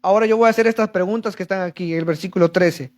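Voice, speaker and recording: A man's voice: high voice, fast talker. A man preaching a sermon to a congregation, reading verses and explaining them, calling for answers. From Central America. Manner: reads scripture then explains, asks congregation for answers.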